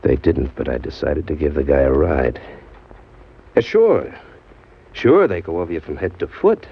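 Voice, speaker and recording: A man's voice: rumbling low, sing-song, normal speed, creaky, slightly rough, full character voice. A man playing a cocky, sly small-time crook in an old radio crime drama, talking and narrating in tough, wisecracking slang. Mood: cool, knowing. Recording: dull vintage radio recording, music behind.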